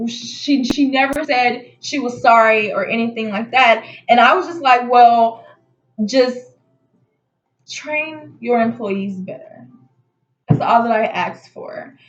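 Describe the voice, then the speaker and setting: Casting: female vlogger, high-pitched voice, indignant casual storytelling, slightly muffled roomy sound. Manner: animated, indignant retelling with acted-out dialogue. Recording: muffled audio in echoey room.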